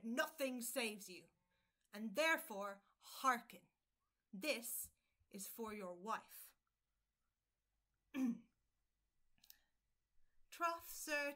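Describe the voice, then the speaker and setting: A woman playing a bold, eloquent gallant in an early modern audio drama, wooing in measured, theatrical, courtly speech. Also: sits high, pushed and bright, heavily acted. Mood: firm.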